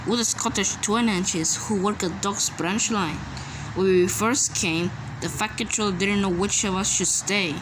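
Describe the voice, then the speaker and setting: A loyal engine from a children's cartoon, voiced by a woman. It speaks earnestly at a middle pitch, with clear noise behind. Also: measured pace, close to an everyday voice. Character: steady, loyal male steam engine. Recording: noisy home recording.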